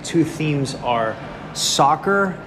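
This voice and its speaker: A man's voice: fairly high pitch, expressive, extremely slow. A young man hosting a podcast interview, eager and chatty, calling his guest bro and man. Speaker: podcast host. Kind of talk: casual interview chat. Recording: background noise.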